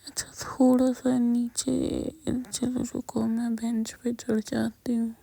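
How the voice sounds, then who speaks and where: A woman whispering an intimate girlfriend roleplay, speaking softly and directly to the listener up close to the mic. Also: fairly high pitch, flat delivery, slow pace, gravelly.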